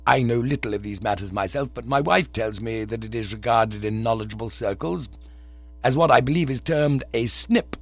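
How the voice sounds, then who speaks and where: Man doing a pompous elderly lord, formal and wordy, gravelly, husky, dull lo-fi sound. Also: mid-range voice, normal speed, close to an everyday voice. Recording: dull lo-fi dry recording.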